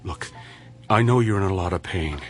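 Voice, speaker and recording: An actor playing a principled, compassionate male doctor with a dry, measured manner in a radio drama. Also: sits low, soft background music, natural voice. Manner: dry, measured, sardonic asides.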